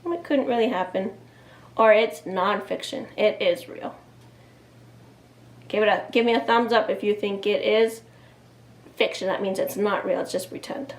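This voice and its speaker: A woman in her thirties reading a picture book aloud, expressively and deliberately, with explaining asides to young children. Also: medium pitch. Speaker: children's storyteller. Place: small indoor room, seated before a cloth backdrop.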